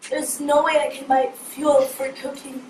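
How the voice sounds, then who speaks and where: A hoarse woman playing a desperate poor mother. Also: high voice, little pitch movement, measured pace. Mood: pleading. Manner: pleading, coughing. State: hoarse, lost voice. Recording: echoey room, distant mic, noise.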